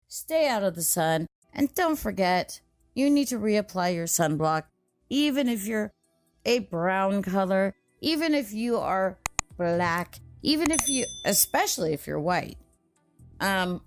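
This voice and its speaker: A woman hosting a story channel, chatting casually to her listeners in a rambling, digressive way. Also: mid-range voice. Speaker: host and story narrator. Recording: music behind the voice. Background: music.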